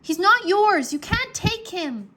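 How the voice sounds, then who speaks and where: Woman as a high-pitched, urgent young boy hero. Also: very animated, slow, bright, forceful tone, a little hiss or hum, full character voice. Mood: desperate. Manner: urgent, exclaiming.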